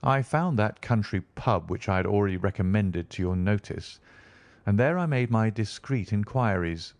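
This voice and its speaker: A man plays a confident gentleman detective in a storybook reading. His voice sits fairly low, with articulate, measured and wry delivery. The recording is dry and close. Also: lively intonation, dry recording. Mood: amused, brisk. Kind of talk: audiobook character reading.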